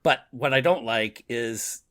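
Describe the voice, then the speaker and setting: Bright-voiced man, chuckling podcast co-host. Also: medium pitch, slow. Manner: chuckling often as he talks. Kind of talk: casual book and radio drama discussion.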